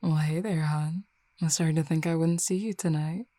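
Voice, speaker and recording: A woman's voice: low voice, steady tone, moderate pace, mellow, no room sound. A woman voicing a confident, flirty female werewolf in an audio roleplay, playful and teasing. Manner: playful, teasing, self-assured. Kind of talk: scripted audio roleplay acting.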